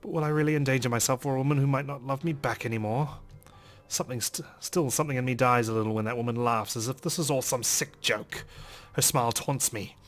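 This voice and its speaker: A man playing and reading a visual novel aloud in a dramatic, expressive way as a let's player. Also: medium pitch, fast. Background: game music.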